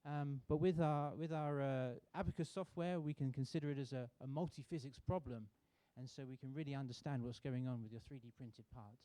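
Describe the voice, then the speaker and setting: Man from the UK, sponsor rep at a lectern, soft warm tone, hesitant delivery. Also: medium pitch, no room sound. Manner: relaxed, halting with many fillers and restarts. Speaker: sponsor representative. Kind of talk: welcome speech at a contest. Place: university auditorium.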